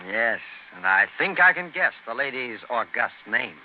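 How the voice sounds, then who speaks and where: A man's voice: medium pitch, very animated, normal speed. A man with an American accent announcing in scripted 1930s radio style, hosting and reading sponsor messages. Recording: tinny, noisy 1930s radio broadcast.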